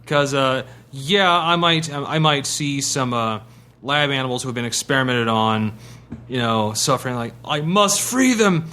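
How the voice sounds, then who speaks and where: Guy on a gaming podcast, bright voice, sweary halting banter, bit of background noise. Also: medium pitch. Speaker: podcast panelist and game player. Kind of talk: casual conversation.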